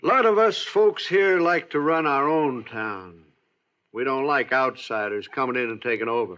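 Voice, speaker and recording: A bright, hard-toned actor as a frontier town constable on a dull vintage radio recording. He is smug and folksy, with a quiet threat under the small-town talk. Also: medium pitch, close to an everyday voice. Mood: quietly menacing. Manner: folksy, drawn-out, quietly menacing. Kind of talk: old-time radio western acting.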